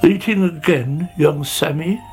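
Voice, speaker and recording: A slow, world-weary snail from a children's tale, played by a male reader. He sighs and mutters his scolding, with lots of swoop in the pitch. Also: wildly swooping pitch, creaky, faint music behind, exaggerated voice. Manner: sighing, muttering.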